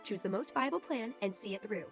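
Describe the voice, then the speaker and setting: An actress playing an authoritative female strategist who gives brisk orders in a video game. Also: mid-range voice, animated, soft background music, natural voice. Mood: commanding. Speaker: game character. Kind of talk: scripted game voice acting.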